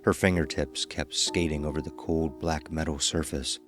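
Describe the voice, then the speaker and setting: A man's voice: very deep voice, fairly even intonation, moderate pace. A man narrating a scripted horror story. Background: faint music.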